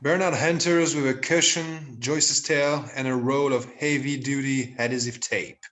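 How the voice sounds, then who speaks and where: A man's voice: medium pitch, fairly even intonation, measured pace, a bit bright. A man giving scripted narration, reading out the stage directions of a comedy play.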